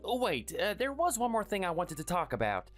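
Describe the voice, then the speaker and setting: A performer voicing a meek, sickly man in his thirties who speaks softly and hesitantly, always apologizing. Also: high-pitched, wildly swooping pitch, brisk, faint music behind. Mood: pleading. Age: 30s. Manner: soft, hesitant, pleading. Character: meek sickly young husband, timid and apologetic.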